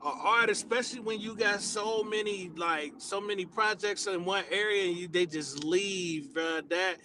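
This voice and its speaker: High-pitched man, animated casual chat, hyping and laughing, faint hiss behind. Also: moderate pace. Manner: animated, laughs and hypes often. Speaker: guest, music producer. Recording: faint background noise.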